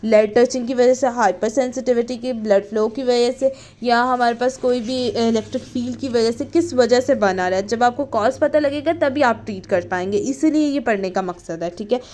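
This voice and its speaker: A woman's voice: a little high, moderate pace, few pauses, a little mellow. A woman lecturing informally on a subject, reading out notes and then explaining them, often adding check-in tags and switching between Hindi and English. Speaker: physiotherapy lecturer.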